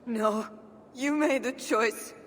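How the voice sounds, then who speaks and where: An actress playing a grieving, righteous medieval queen in a video game, solemn and measured as she speaks. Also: a little high, long reverb tail, a little hiss or hum. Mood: cold condemnation. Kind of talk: dramatic video game acting.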